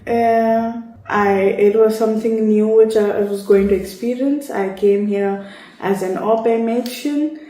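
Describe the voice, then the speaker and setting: Slow, mellow Indian-accented woman. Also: a little high, steady tone. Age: 30s. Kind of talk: personal story told to camera. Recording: echoey room.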